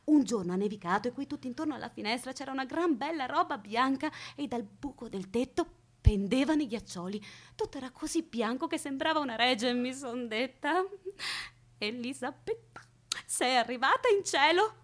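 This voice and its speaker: A woman's voice: high voice, expressive, brisk. An actress playing an eccentric, reclusive woman who keeps a man chained in her house, teasing and whimsical in a radio play. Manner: whimsical, teasing, matter-of-fact about absurd things. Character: eccentric reclusive woman jailer, gleefully odd. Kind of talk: radio theatre acting.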